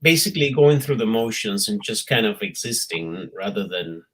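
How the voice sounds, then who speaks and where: A man's voice: on the low side, lively intonation, moderate pace, a bit bright. Dry-joking middle-aged man from Peru. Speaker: interview guest. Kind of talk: casual interview talk.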